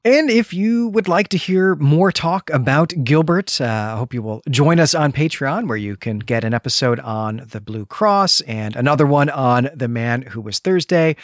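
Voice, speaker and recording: A man's voice: mid-range voice, animated, normal speed, hardly any pauses, no echo. Articulate male podcast host, dry close recording. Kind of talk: literary discussion podcast, casual analytical chat.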